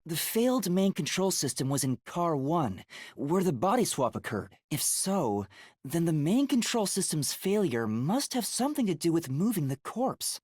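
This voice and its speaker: A man's voice: a little high, no echo, studio quality. A man voicing an earnest, anxious young male trainee detective in an English video game, hesitant and thinking aloud.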